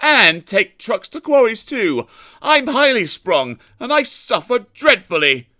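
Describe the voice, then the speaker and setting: A man's voice: extremely high pitch, very animated, takes it slowly, sharp tone, no room sound, clean studio sound, pitched above the performer's own voice, exaggerated voice. A man voicing a lazy male tank engine who grumbles and complains sheepishly. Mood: whiny.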